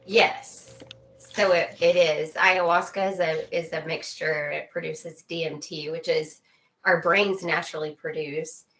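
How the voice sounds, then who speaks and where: Low-voiced woman explaining earnestly. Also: fairly even intonation, fairly bright tone, rough recording. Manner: earnest, halting, repeats phrases for emphasis. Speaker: interview guest. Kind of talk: casual conversational explanation.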